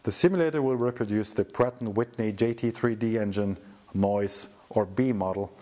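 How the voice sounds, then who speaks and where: A man's voice: lowish voice, normal speed, dark tone, slight background noise. A middle-aged man giving scripted voice-over narration about his own restoration project.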